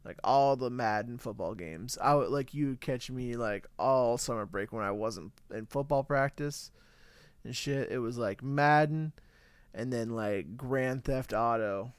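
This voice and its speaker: A man's voice: mid-range voice, measured pace, smooth, dry recording. A man in his thirties chatting casually with a friend, slangy and laid-back, laughing often. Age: 30s. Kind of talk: casual chat.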